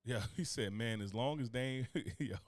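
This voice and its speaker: A man's voice: mid-range voice, moderate pace, dry recording, studio quality. Laid-back, profane man rambling on a podcast. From Newark, New Jersey. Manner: loose, profane, rambling with laughs. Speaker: podcast co-host. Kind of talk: casual chat.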